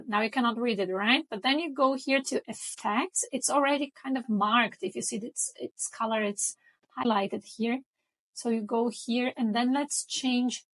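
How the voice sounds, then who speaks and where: A woman whose first language is Portuguese, hosting a software tutorial and explaining each step in a chatty way, often checking in with the listener. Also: fairly high pitch. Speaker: tutorial host. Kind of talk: screen-recording software tutorial.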